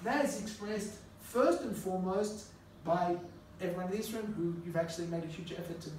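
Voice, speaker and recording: A man's voice: sits high, brisk. A middle-aged man from Australia, a rabbi giving a conversational classroom lecture and telling stories with asides to his students. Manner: relaxed storytelling with asides to the students. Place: classroom with a live audience. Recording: echoey distant classroom recording with faint noise.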